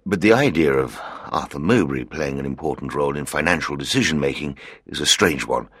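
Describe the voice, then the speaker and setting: A man voices a nervous company director in his fifties for a storybook recording. The voice is extremely deep and husky, with a lively, rising and falling delivery. The sound is dry and close. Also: brisk, no echo, full character voice. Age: 50s. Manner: nervous, hesitant, apologetic. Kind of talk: audiobook character reading.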